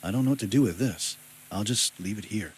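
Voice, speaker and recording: Actor as low-voiced, somber game hero. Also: male voice, a little fast, close to an everyday voice. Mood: somber, wary. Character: somber, guilt-ridden grieving brother, game protagonist. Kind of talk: video game dialogue. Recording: rain and ambient noise.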